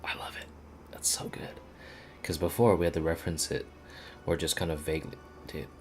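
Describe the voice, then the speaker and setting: Deep-voiced male game streamer. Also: steady tone, fairly quick, whispered, soft background music. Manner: casual, swearing, reactive.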